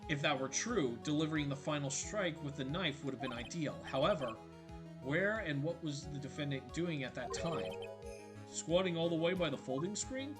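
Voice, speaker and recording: A man's voice: on the high side, lively intonation, normal speed, lightly acted. A man voicing an earnest young male defense lawyer, arguing point by point with urgency, over game music. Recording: game music playing behind.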